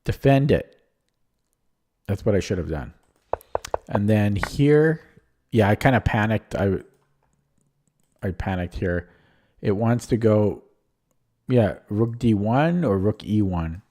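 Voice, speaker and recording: A man with a lowish, mellow voice that is a little strained, thinking aloud while he streams chess. He talks casually and hesitantly and keeps questioning his own moves. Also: expressive, slow, pauses often. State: voice strained from talking. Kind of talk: casual live-stream commentary.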